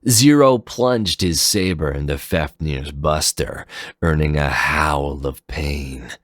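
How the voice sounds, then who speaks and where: A man with a very low voice narrates an action story dramatically. He moves at an unhurried pace, and the recording is dry and close. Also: dry recording. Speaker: narrator of fan fiction.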